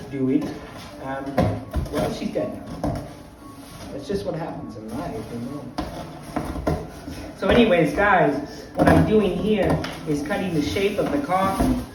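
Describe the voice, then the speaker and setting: A theatrical old vampire count, played by a man, hosts a craft tutorial and drifts off into stories. His voice sits a little high. He is recorded from a distance in a very echoey room with music playing underneath. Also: animated, measured pace, long pauses. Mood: rambling. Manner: digressive, wanders into anecdotes. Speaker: craft tutorial host in character. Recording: very echoey room, mic from afar.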